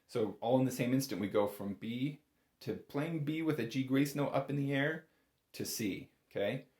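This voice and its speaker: A man's voice: mid-range voice, normal speed, darkish tone, echoey room. A man giving a casual, step-by-step music lesson as an instructor, in an informal, reassuring and encouraging manner. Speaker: bagpipe instructor.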